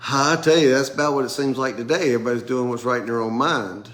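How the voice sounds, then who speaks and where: A middle-aged American pastor leading a casual Bible study around a table, nasal and congested from a sinus infection, talking in a halting way with many restarts. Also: male voice, medium pitch, fairly bright tone. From United States. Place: room with a group at a table.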